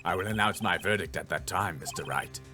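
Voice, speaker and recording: A man voicing a stern, formal elderly judge in a video game, speaking slowly and gravely. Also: sits low, music in the background, pitched below the performer's own voice, close to an everyday voice.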